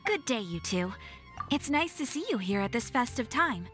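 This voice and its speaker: A courteous guild receptionist. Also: female voice, a little high, moderate pace. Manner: calm, courteous, formal. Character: polite composed female guild receptionist. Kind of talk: video game dialogue. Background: game music.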